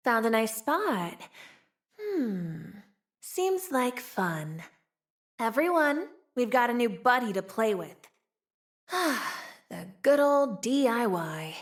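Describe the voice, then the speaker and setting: A young woman voicing a playful, streetwise, wry frontier woman with a tail in a video game. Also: fairly high pitch. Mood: playful, curious. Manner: teasing, sly, drawling, sighing between wry remarks. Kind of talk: video game character voice lines.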